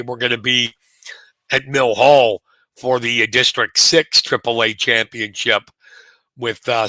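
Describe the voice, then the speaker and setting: This is a hoarse man, doing play-by-play for a live wrestling stream. His voice is very bright and has a forceful edge, and it sounds close and dry. He talks casually while reeling off rankings and weights. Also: mid-range voice, no echo. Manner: rapid rundown of rankings, conversational. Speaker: wrestling commentator. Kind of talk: live sports commentary. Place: press box at a sports venue.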